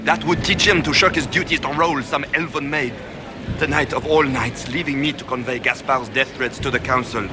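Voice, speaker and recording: A man's voice: medium pitch, normal speed, close to an everyday voice. An actor playing a haughty, peevish male aristocrat in scripted fantasy game dialogue, indignant and sarcastic. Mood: peeved, sarcastic. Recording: music behind the voice.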